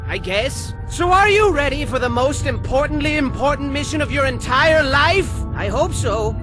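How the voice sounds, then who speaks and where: An actor playing a flamboyant, excitable male game narrator who rambles theatrically between grandiose speeches and petulant outbursts. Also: extremely high pitch. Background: game music.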